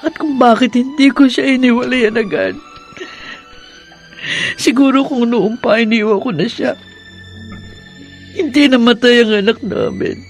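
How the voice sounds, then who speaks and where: A woman's voice: a little high, fairly even intonation, unhurried, pauses often. Grieving young Filipino mother. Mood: tearful guilt. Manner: tearful, plaintive. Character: grieving, guilt-ridden young mother, wounded and sorrowful. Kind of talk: Filipino radio drama acting. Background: music.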